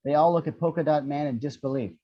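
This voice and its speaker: Higher-voiced man reading screenplay action. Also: fast, highs cut off. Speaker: narrator reading action descriptions. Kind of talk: scripted screenplay narration at a table read.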